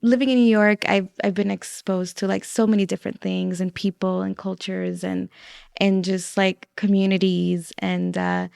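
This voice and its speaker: A woman's voice: mid-range voice, moderate pace, creaky, smooth, no echo, studio quality. A woman from the Dominican Republic, a podcast guest chatting casually about her life and how she met her husband. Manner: relaxed, chatty, with fillers and laughs. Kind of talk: casual conversation.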